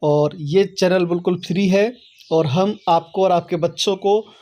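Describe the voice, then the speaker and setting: High-pitched man explaining step by step. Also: fairly even intonation, unhurried, a little mellow, lo-fi sound. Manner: step-by-step, repeatedly checking with "okay". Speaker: host of an online how-to channel. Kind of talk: explanatory tutorial talk to viewers.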